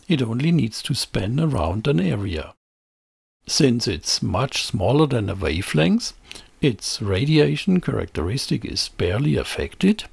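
A man narrates a technical tutorial in a measured, explanatory way. His delivery is slow, with a lively, moving pitch and a noticeably husky tone. The voice-over is scripted and closely miked. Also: no room sound. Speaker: presenter of an electronics tutorial channel.

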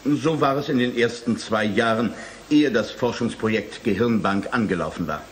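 An actor playing a matter-of-fact, unapologetic surgeon who speaks with clinical precision in a German radio drama. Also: male voice, on the low side, normal speed, close to an everyday voice. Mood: composed, clinical.

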